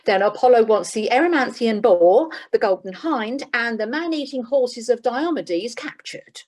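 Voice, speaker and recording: A woman's voice: on the high side, expressive, moderate pace, darkish tone. A woman playing a businesslike, slightly weary job agent in a comic radio play. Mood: dry. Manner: businesslike, dry, faintly exasperated. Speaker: employment agent.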